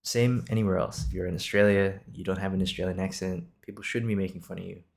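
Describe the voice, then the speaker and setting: A man's voice: low voice, steady tone, fast talker, gravelly, a little mellow. A man in his twenties from Singapore hosting an improvised podcast, talking casually in a reassuring, encouraging, conversational way. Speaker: podcast host.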